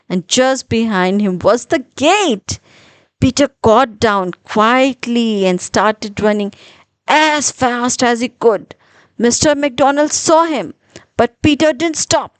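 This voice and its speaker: A woman narrating a children's story in an expressive, deliberate storytelling manner with dramatic pauses. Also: a little high, no echo.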